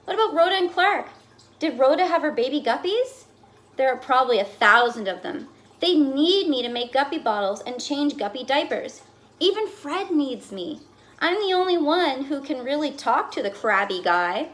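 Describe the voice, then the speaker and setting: Woman reading as a dramatic, fussy pet goldfish, swooping pitch. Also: moderate pace. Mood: wistful, worried. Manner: dramatic, exasperated, expressive storytelling. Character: fussy, put-upon pet goldfish telling its diary. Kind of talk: expressive children's picture book read-aloud.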